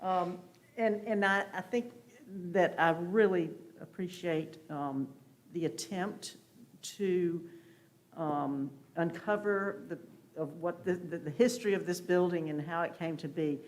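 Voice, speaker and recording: A woman commissioner giving deliberative comments at a public meeting, halting as she searches for her words. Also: lowish voice.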